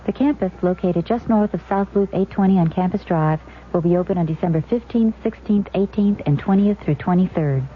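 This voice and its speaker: A woman's voice: fairly low pitch, fairly quick. A woman with an American accent reading scripted community announcements on local radio. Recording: tinny, faintly noisy vintage radio recording.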